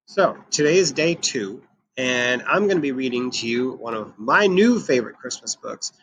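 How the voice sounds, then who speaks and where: A man reading a children's picture book aloud in an expressive, emphatic way. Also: medium pitch, moderate pace, a little hiss or hum, low-quality recording. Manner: animated, emphatic delivery. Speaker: children's storybook reader.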